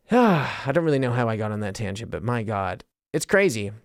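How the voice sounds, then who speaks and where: A man's voice: fairly low pitch, sing-song, normal speed, no echo, clean studio sound. A man with an American accent hosting a podcast, talking casually in a rambling way and often interrupting himself. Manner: self-interrupting, trails into tangents. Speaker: podcast host.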